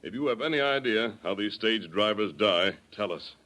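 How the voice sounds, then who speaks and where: A man playing a calm, commanding masked frontier hero, speaking deliberately in a 1930s radio drama. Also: lowish voice. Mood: calm, attentive. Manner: steady, reassuring, deliberate.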